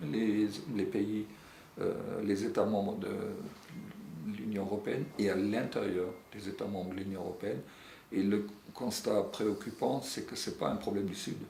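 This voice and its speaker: A middle-aged man answering interview questions earnestly, often pausing on euh and restarting his sentences. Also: lowish voice, steady tone, vocal fry, darkish tone. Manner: earnest, searching for words with frequent euh and restarts. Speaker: secretary general of a European civil society network. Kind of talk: interview answers on European social policy. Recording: echoey room with faint background noise.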